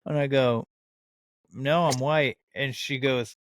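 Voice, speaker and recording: A man's voice: medium pitch, steady tone, slow pace, no echo, clean studio sound. A man with a North American accent chatting informally and bluntly as a podcast host. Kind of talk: casual chat.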